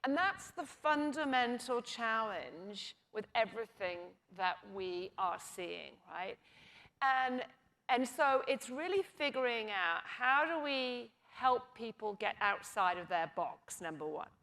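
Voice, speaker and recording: A woman's voice: on the high side, expressive, slow, a bit bright. A woman speaking on a conference panel, making her case emphatically with rhetorical questions. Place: conference stage.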